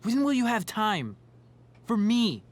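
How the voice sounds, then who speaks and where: An actor voicing a jealous, worried teenage boy in a video game, pleading and accusing in an emphatic, drawn-out way. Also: sits high, wildly swooping pitch, full character voice. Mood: hurt, agitated.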